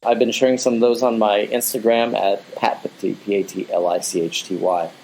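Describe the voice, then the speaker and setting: A man's voice: on the low side, steady tone, fairly quick, gravelly, a little mellow, rough recording. A man with an American accent, a guest artist talking at length in a casual interview, full of restarts and "you know".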